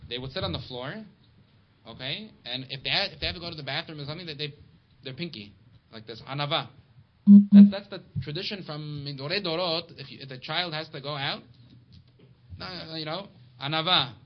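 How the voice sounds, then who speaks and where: A man teaching a casual Torah class to a small study group, chatty and quick, reading Hebrew and Aramaic passages aloud. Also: fairly high pitch. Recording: dull lo-fi recording with steady background noise.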